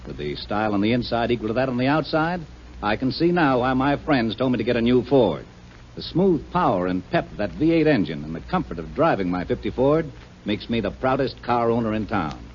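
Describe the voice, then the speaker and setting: A man's voice: mid-range voice, very animated, fairly quick, a little hiss or hum. A man giving a plain, earnest customer testimonial in a 1950s radio commercial. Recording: dull old radio broadcast.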